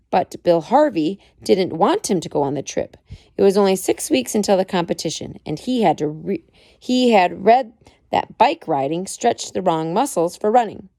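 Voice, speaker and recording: A woman reading a biography aloud at home in a measured storytelling way, with expressive emphasis. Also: mid-range voice, gravelly, no echo. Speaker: parent reading aloud to child. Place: at home with a child nearby.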